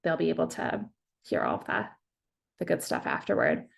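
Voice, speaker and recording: A woman's voice: rumbling low, huge pitch swings, moderate pace, creaky. A woman hosting an online community meeting on a video call, talking casually with many fillers. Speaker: webinar host.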